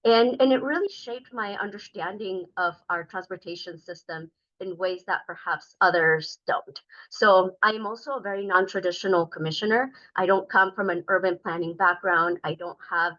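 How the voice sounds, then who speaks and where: Bright-voiced woman, earnest, lo-fi meeting audio. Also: mid-range voice, moderate pace. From Denver, Colorado. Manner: earnest, talkative, many fillers. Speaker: state transportation commissioner. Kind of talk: community meeting presentation.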